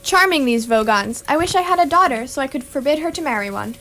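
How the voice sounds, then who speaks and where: A woman's voice: high-pitched, animated, quick pace, bright, forceful tone, heavily acted, rough recording. A woman playing a bewildered, politely plaintive stranded human traveller, hesitant and querulous, in amateur radio theatre dialogue. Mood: wry, plaintive.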